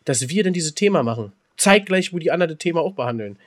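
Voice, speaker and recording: A man with a slightly high, rough-edged voice chats as a podcast co-host. He talks very fast in loose, casual banter and tends to repeat himself for emphasis. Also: expressive.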